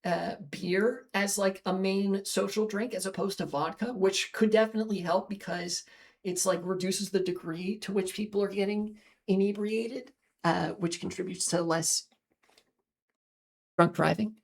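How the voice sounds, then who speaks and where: Slightly raspy woman streamer, halting. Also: medium pitch, steady tone, darkish tone. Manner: halting, many fillers and restarts, talks fast then slows. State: voice strained from long talking and voice training. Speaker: livestream host. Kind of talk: casual livestream chat, reading chat and rambling on.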